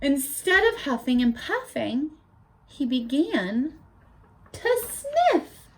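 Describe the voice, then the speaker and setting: A woman's voice: high voice, takes it slowly, poor audio. A woman teacher reading a picture book aloud to children, stopping to explain words and ask for their predictions. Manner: animated, asks children questions.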